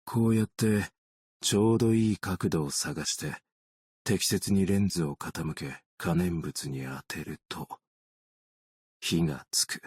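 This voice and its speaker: Casting: a long-lived, world-weary male optician in a game; deep husky voice, understated, gently melancholic delivery. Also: slow, no room sound, studio quality, full character voice. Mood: calm, reflective. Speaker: video game character voice actor. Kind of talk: scripted game character voice lines.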